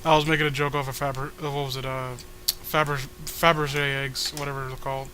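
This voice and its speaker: A man's voice: mid-range voice, level tone, quick pace, pushed and bright, slight background noise. A man giving casual, mocking commentary as a co-host, rambling, sarcastic and freely swearing. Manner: sarcastic, rambling, foul-mouthed. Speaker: co-host reading a webcomic aloud with commentary.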